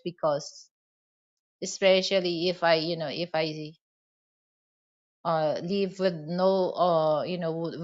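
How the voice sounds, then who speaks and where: A woman's voice: on the low side, muffled recording. A woman from the Philippines with a Filipino accent, a native Hiligaynon speaker, talking casually in a rambling vlog monologue full of "you know" fillers. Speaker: vlogger.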